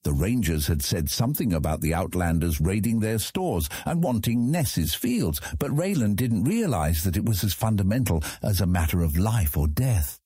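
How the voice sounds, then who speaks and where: A man narrating a fantasy audiobook in a measured, dramatic storytelling manner. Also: low-pitched, expressive, mellow, husky, no room sound, studio quality.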